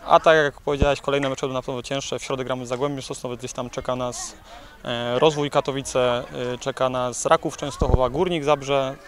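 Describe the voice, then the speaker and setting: A man in his thirties, a youth football coach, giving a post-match interview outdoors by the pitch, thoughtful and full of hedges and restarts. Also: little pitch movement, brisk. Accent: Polish.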